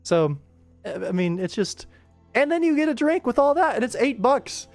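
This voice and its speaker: A man's voice: very high-pitched, very animated, normal speed, dry recording, faint music behind. A young American man talking casually and enthusiastically on a livestream, emphatic and given to going off on tangents. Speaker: livestream host.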